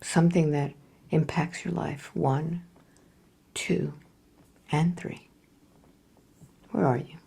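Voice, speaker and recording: Low, gravelly, slow-talking woman hypnotherapist. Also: steady tone. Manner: measured, sparse, with soft acknowledgments. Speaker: regression hypnotherapist guiding a session. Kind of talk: guided hypnosis prompts and brief questions.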